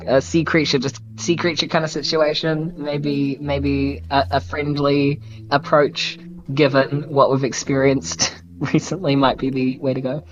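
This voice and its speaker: Slightly dull-sounding New Zealand man, tabletop player. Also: on the high side. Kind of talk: casual game chat.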